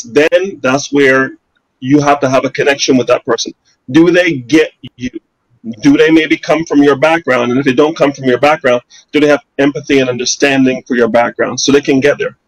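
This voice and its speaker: A man's voice: fairly high pitch, low-quality recording. Confident persuasive male advisor. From Canada. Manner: confident, persuasive, uses rhetorical questions. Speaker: financial advisor guest. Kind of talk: interview answers.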